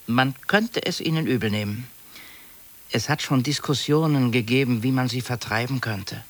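An actor in a 1950s radio play, playing a fussy, anxious middle-aged office clerk who talks in a confiding, hesitant, gently pleading way. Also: male voice, mid-range voice, normal speed, lightly acted. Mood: hesitant, earnest.

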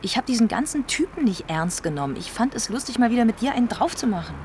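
A woman's voice: a little high, very animated, fast, darkish tone, slight background noise. An actress playing a nervous, conflicted woman confiding in her partner, hesitant and defensive, in a naturalistic radio drama.

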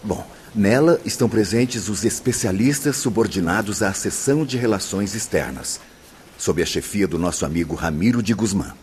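An actor with a Brazilian accent playing a solemn, courteous spirit guide who explains formally in a radio drama. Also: male voice, fairly low pitch, expressive, moderate pace, a little mellow, a little hiss or hum, close to an everyday voice. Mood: patient, instructive. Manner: formal, didactic.

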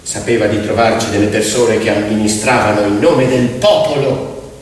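A man's voice: fairly low pitch, normal speed. A man performing theatrical storytelling, with dramatic, emphatic delivery in short phrases. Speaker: stage storyteller and actor. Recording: very echoey hall, distant mic, faint music. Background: faint piano music.

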